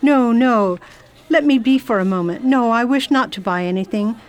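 A woman's voice: on the high side, moderate pace, natural voice. A woman playing a genteel English lady traveler. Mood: genteel, curious. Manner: prim, refined and inquisitive. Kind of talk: first-person narration and radio drama acting.

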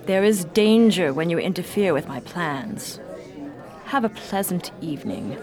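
A woman playing a haughty, coolly threatening female villain in a radio drama, speaking deliberately. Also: on the low side, animated, a little mellow. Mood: cold menace. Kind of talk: amateur radio drama acting.

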